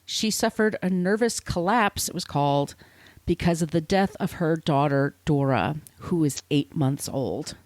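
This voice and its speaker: A woman's voice: low voice, measured pace, a little mellow, no echo. A woman podcast host telling a story in casual chat, informal and sweary, with conversational asides.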